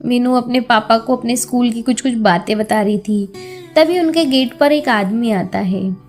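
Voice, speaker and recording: High-voiced woman storyteller over music. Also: normal speed, a little mellow. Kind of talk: scripted children's story narration.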